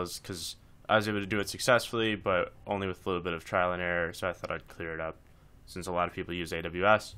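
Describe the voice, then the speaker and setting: A man's voice: deep voice, fairly bright tone, smooth. A man presenting a casual screencast tutorial, talking informally through each step as he instructs.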